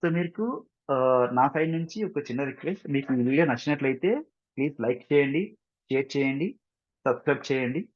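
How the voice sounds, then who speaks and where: A man with an Indian accent giving an informal software tutorial, repeating himself, mixing in English terms and often checking that listeners follow. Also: mid-range voice, fast talker, dull audio. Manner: repetitive, frequent check-in questions, mixes English terms into speech. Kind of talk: screen-share tutorial, informal explanation.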